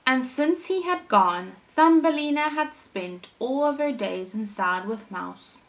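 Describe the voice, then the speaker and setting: Slow-paced woman teacher telling stories to children. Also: fairly high pitch, rough recording. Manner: expressive, deliberate phrasing for young children. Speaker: primary school teacher. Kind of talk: children's storytelling.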